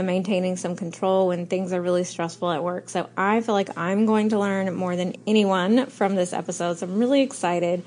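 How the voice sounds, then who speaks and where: A woman's voice: fairly low pitch, darkish tone. A woman hosting a podcast, chatting in an upbeat, enthusiastic way with frequent fillers. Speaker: podcast host. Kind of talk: casual podcast chat.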